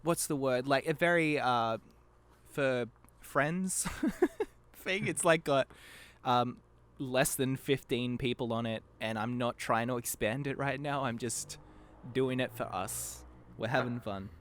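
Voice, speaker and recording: A man's voice: medium pitch, takes it slowly, a bit bright. A young man chatting casually as a podcast guest, giggly and self-deprecating. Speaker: podcast guest, amateur voice actor. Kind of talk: casual chat.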